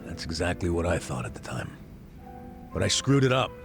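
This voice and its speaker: A gruff older American ex-cop from a video game, low and gravelly. He talks in a blunt, salty, conversational way that swings widely in pitch, world-weary yet soft-hearted underneath. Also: sing-song, fairly quick, faint music behind, full character voice. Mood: regretful, weary. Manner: blunt, casual, salty-tongued. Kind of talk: English video game dub acting, conversational.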